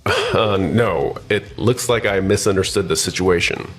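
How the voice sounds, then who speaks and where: A man's voice: low voice, a little slow, soft background music. A man playing a scheming, self-important office manager with pushy corporate formality in a manga dub. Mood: flustered, contrite. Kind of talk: scripted manga dub acting.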